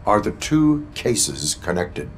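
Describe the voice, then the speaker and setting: An actor playing a stoic cyborg police officer in a game, with stiff, formal, mechanical delivery. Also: male voice, lowish voice, sing-song, slow, heavily acted. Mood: flat, dutiful.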